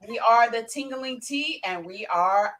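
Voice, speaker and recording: A woman's voice: fairly high pitch, measured pace, a bit bright, slight background noise. A woman hosting an unscripted live podcast, talking off the cuff as she runs the show. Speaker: podcast host.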